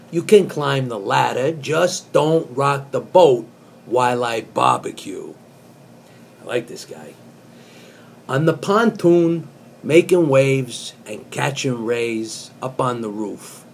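A man in his fifties speaks slowly and deliberately in a medium-pitched voice, like a mock-serious poetry reading with casual asides. He is close to a webcam in a small room, with some faint noise behind him. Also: gravelly, a little mellow. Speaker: comic host reading song lyrics as poetry. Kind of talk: dramatic spoken recitation of pop lyrics with casual asides.

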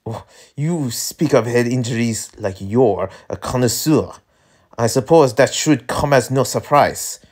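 Haughty gentleman captive, played by a game reader, exasperated and formal, animated pitch. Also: unhurried. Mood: disdainful.

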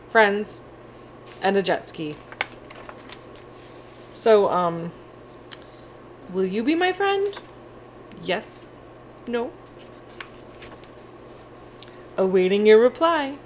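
A quirky little octopus-unicorn, read aloud by a woman with very swoopy, animated pitch. The storybook creature is friendly and a touch lonely, and there is clear room noise behind. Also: slow, pauses often, low-quality recording. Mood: hopeful, friendly. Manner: animated, childlike storybook delivery. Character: friendly, quirky, slightly lonely young octopus-unicorn picture-book character.

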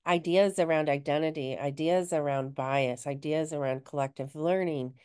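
A woman co-hosts a webinar on a video call, with a deep, smooth voice. She reads chat comments aloud and builds on them in an encouraging way. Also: steady tone, moderate pace, darkish tone. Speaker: webinar co-host. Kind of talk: conversational webinar discussion.

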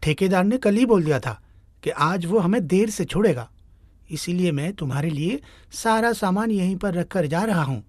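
A woman voicing a devoted, gentle young village son in an animated moral story. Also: fairly low pitch, normal speed, dry recording. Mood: reassuring. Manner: earnest, gentle.

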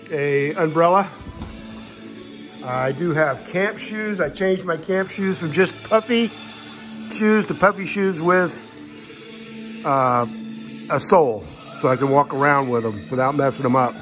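Male hiker, slightly high voice, folksy and matter-of-fact, talking through gear off the cuff at home into a phone. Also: a little slow, pauses often, a bit bright, soft background music. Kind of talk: casual unscripted gear walkthrough. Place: indoors in a home living room. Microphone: handheld phone camera, speaker behind it.

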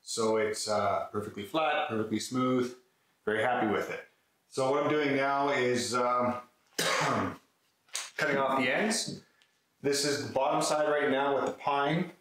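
A man's voice: medium pitch, measured pace, fairly bright tone. A man in his thirties casually and matter-of-factly explaining a hands-on build to camera, picked up a few metres from the mic in a workshop. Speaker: woodworking video host. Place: concrete-floored garage workshop, indoors. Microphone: camera mic a few metres away. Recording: echoey garage, faint background noise.

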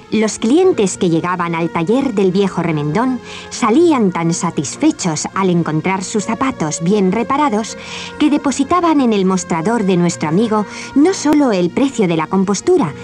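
A woman tells a children's story clearly, with a lively, wide-ranging pitch. Faint music sits under her narration on the old cassette.

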